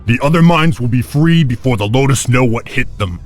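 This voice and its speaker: A man acting a loyal, earnest clan warrior in a video game, gravelly and emphatic. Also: medium pitch, expressive, a little fast, faint music behind, lightly acted. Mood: urgent loyalty.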